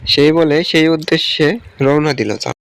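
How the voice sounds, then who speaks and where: A man's voice: fairly high pitch, moderate pace, creaky, a bit bright, no room sound. A man narrating a scripted children's animal story as the storyteller.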